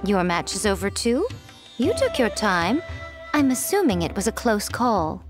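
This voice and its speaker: Video game part: composed, taunting noblewoman, silky and wry, animated intonation. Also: medium pitch, unhurried. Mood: amused, composed. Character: sly, teasing, composed, elegant lady. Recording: music under the voice.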